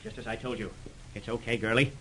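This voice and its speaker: An actor playing a brash, cold-blooded schemer in slangy tough talk, in 1930s radio drama style. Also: male voice, medium pitch, fairly even intonation, fast talker, pushed and bright, slightly rough, rough recording. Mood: smug.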